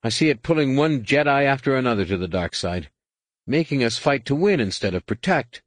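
A man voicing an earnest, idealistic young Jedi who gently moralizes and reflects, in a science fiction audiobook. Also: mid-range voice, expressive, brisk, dry recording, pitched above the performer's own voice, lightly acted. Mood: troubled, earnest.

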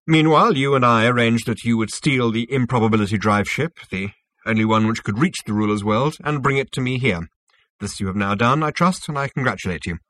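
A man's voice: mid-range voice, fairly quick, a bit bright, dry recording, close to an everyday voice, rough recording. Man playing a smug, businesslike schemer. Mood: smug, explanatory. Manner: businesslike, smug, hesitating with small uhs.

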